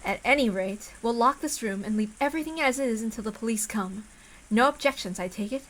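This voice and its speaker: A woman's voice: a little high, a little fast, fairly bright tone, poor audio. A woman voicing a stern, composed aristocratic lady with a formal, commanding manner in a visual novel read-aloud. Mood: cold, detached.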